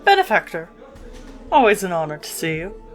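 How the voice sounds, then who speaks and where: A woman's voice: on the low side, sing-song, normal speed, over music. A woman playing a proud, gracious head of an adventurers' guild in a fantasy audio drama, courteous and frank. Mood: courteous, candid.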